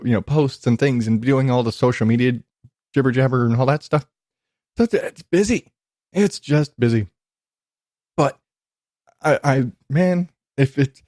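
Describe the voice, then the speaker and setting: A man hosts a podcast alone in a very dry, close-sounding recording. He speaks at a slow, unhurried pace with an average pitch. His talk is loose and informal, rambling and self-deprecating, with some swearing. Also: darkish tone, no echo. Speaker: podcast host.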